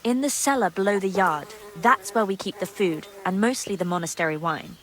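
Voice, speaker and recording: A woman's voice: medium pitch, animated, a little fast, fairly bright tone, lightly acted. A woman playing a gossipy, guarded young monastery maid in a medieval video game drama, secretive and earthy in her talk. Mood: indignant, confiding. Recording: clear background ambient noise.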